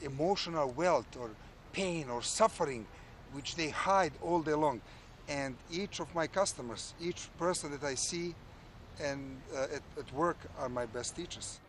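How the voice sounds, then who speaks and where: A man with a Serbian accent, a non-native English speaker counseling someone, pausing often and searching for his words. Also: on the high side, a little hiss or hum. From former Yugoslavia. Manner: halting, searching for words, full of fillers. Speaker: mentor and judo teacher. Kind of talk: counseling conversation.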